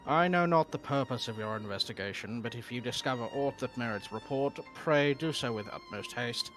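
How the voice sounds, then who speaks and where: A man's voice: medium pitch, fairly quick, fairly bright tone, faint music behind, natural voice, poor audio. A man voicing a formal male official guarding a research facility, reading game dialogue in stiff, archaic phrasing on a live stream. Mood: stern.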